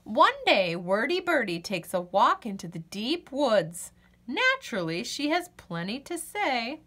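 Female children's story reader: high, bright, unhurried, expressive delivery. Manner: expressive, deliberate phrasing. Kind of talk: animated storybook narration.

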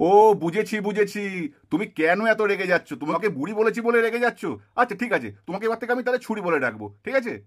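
A man's voice: high voice, expressive, fast, a bit bright. A man voicing an insistent village family man in a puppet drama. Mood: teasing. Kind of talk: dramatized Bengali puppet-show dialogue.

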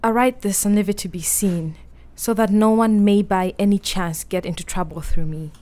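A woman playing a frail, frightened young gentlewoman in a radio play, gentle and brave. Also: medium pitch, normal speed, lightly acted. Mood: weary, fearful. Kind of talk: radio play acting, partly diary narration.

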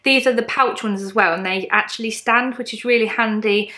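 A woman's voice: fairly high pitch, fairly even intonation, fairly quick, pushed and bright. Upbeat woman in her thirties, casual chatty haul vlogger talking straight to camera from her living room. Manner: chatty, upbeat, lots of ums, shows each item to camera. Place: bright home living room, indoors.

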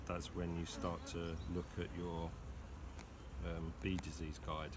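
Deep London beekeeper, relaxed teacher, outdoors with bees humming behind. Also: male voice, a little slow. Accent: London English. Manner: relaxed, explains step by step with restarts. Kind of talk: hands-on teaching chat. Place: outdoor community garden by beehives. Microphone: camera nearby, outdoors. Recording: outdoor recording with noise behind. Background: buzzing bees and outdoor ambience.

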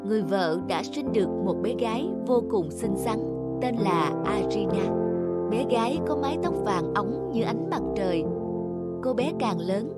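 A woman narrator reading a scripted fairy tale for an animated story. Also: on the high side, normal speed, over loud music.

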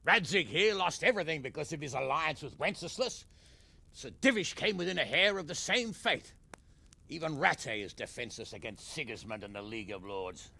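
An actor playing a blunt, earnest middle-aged nobleman in a video game cutscene, with a gravelly, husky voice. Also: fairly high pitch, animated, a little fast, sharp tone, full character voice.

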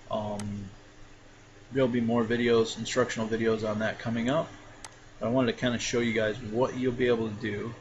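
Relaxed man explaining software steps. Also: mid-range voice. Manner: relaxed, talks through each step with fillers. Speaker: software tutorial instructor. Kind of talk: casual screen-recorded tutorial. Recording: dull lo-fi mic with clear background noise.

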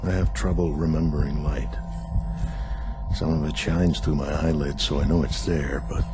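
Brooding male astronaut, halting radio-drama inner monologue, low gravelly voice. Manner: halting, deliberate, ponderous phrasing. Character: weary male astronaut in suspended sleep, brooding, introspective.

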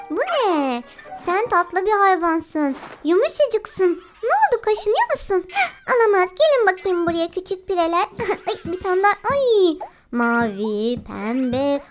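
A playful host of a children's game video with an exclamatory, giggly, cooing way of talking to cartoon animals. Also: very high-pitched. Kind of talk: playful children's gameplay commentary. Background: children's music.

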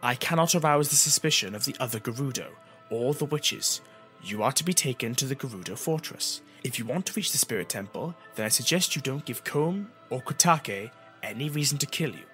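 A man's voice: mid-range voice, expressive, a little fast, a bit bright, faint music behind, voice pushed up, natural voice. A man voicing a stern, guarded female warrior who talks in a firm, curt, controlled way. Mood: stern warning.

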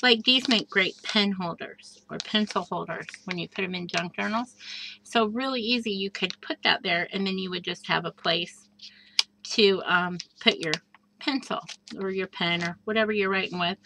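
A woman casually showing a handmade journal page by page, chatty and gushing, with soft asides and plenty of fillers. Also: mid-range voice, background noise. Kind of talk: casual show-and-tell craft walkthrough. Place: indoors at a craft table. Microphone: overhead camera above a craft table.

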